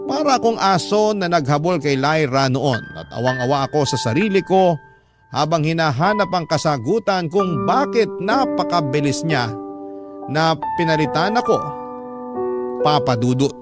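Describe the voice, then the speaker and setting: Male actor as jilted boyfriend, slightly high voice, sorrowful confiding narration, radio drama, prominent music underneath. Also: lively intonation, normal speed, lightly acted. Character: heartbroken jilted boyfriend narrating his own story in a letter. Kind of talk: dramatised first-person radio storytelling. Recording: loud music bed under the voice.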